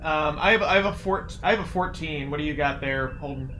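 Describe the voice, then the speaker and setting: A man's voice: on the high side, brisk, bright, forceful tone, rough recording. A man playing a tabletop role-playing game, talking in casual, colloquial, joking banter. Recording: noticeable background noise.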